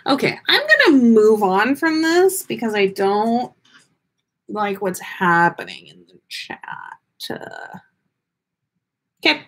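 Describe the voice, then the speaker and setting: A woman reading a story aloud in a theatrical, expressive way with drawn-out words and pauses, as the host of a livestream. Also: mid-range voice. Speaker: podcast host reading listener fan fiction on a livestream.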